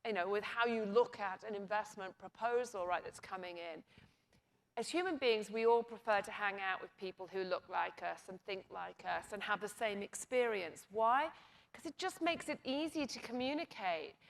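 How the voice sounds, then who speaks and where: A woman's voice: medium pitch, moderate pace, gravelly. A woman speaking on a conference panel, making her case emphatically with rhetorical questions. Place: conference stage.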